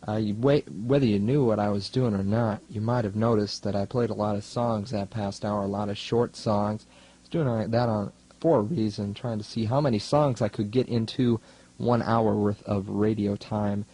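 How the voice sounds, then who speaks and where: Low-pitched young male college radio DJ. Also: fairly even intonation, normal speed, mellow, husky, rough recording. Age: young adult. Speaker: student radio DJ. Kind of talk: casual 1980s college radio DJ talk. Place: radio studio.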